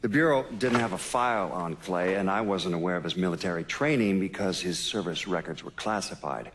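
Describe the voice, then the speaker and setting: A man playing a former American federal agent, recounting an old case in a measured, formal documentary-interview style for a game. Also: low-pitched. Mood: sober reflection. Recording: clear background noise, clicks.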